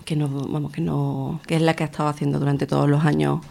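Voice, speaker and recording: A young woman with a gravelly, creaky voice gives interview answers. Her pitch moves very little, and she builds her thoughts hesitantly as she goes. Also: flat delivery, quick pace, mellow. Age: young adult. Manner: hesitant phrasing, searching for words. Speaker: interview guest, feminist activist.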